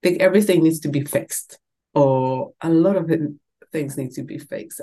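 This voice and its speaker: A woman's voice: sits low, warm tone. A woman from the Czech Republic with a Czech accent, hosting a podcast in a casual, conversational way. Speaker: podcast host.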